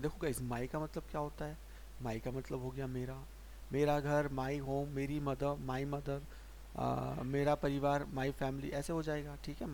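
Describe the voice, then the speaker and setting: A man teaching English grammar in Hindi, patiently asking and answering his own questions and slowing down to spell out example sentences. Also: mid-range voice, gravelly, darkish tone. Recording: faint hiss.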